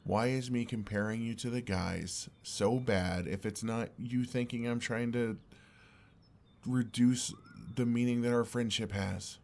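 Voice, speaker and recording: A young man playing an exasperated, earnest and oblivious best friend in an intimate audio roleplay, speaking in a halting, conversational way. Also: medium pitch, steady tone, gravelly, darkish tone. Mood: earnest, pleading.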